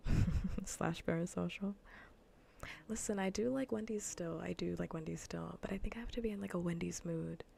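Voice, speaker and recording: A woman livestreamer chatting softly in ASMR style, often whispering with a breathy, gravelly fry, in a casual, rambling way that repeats phrases. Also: lowish voice, brisk, slight background noise. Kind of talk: soft ASMR gaming livestream chat. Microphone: close ASMR microphone.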